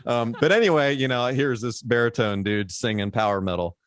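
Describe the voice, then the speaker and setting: A man with a baritone voice, chatting as a guest musician on a podcast, rambling with lots of fillers and restarts. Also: brisk, no room sound, studio quality. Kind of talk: casual podcast conversation.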